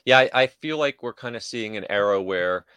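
A man co-hosting a podcast, going through theme park news informally, with a somewhat halting delivery. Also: fairly low pitch, animated, bright, forceful tone, clear, resonant tone, dry recording. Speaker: podcast co-host.

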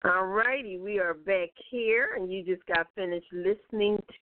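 Tinny phone-line sound, woman, radio host, casual and chatty, dry, middle-pitched voice, informal music presenting. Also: normal speed, dry recording. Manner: informal, chatty, repeats phrases. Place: outdoors at a block party.

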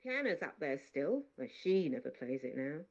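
A woman's voice: deep voice, very animated, normal speed, a little mellow, exaggerated voice. A woman putting on a chatty bossy maid. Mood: triumphant. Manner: bossy, teasing, talkative. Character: chatty good-natured maid, adult woman. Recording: dull lo-fi recording.

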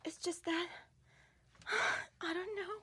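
A woman's voice: very high-pitched, steady tone, slow, sharp tone, full character voice. A woman playing an anxious, timid young woman in a radio play, speaking hesitantly and fearfully. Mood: nervous, fearful.

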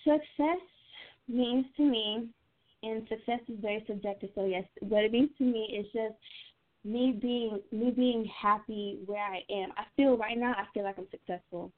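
A woman's voice: a little high, steady tone, moderate pace. A woman of twenty-one answering interview questions casually, thinking aloud in a youthful, informal way. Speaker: singer being interviewed as guest. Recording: tinny narrow phone-line sound.

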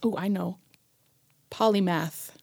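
A woman's voice: lowish voice, takes it slowly, vocal fry, darkish tone, breathy edge, no echo, studio quality. An American woman co-hosting a casual chat in an easygoing, agreeable manner. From United States.

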